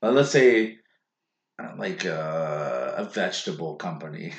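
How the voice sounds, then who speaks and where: A man's voice: sits low, animated, a little slow. A man co-hosting a podcast in casual chat, rambling and joking. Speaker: podcast co-host. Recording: roomy podcast recording.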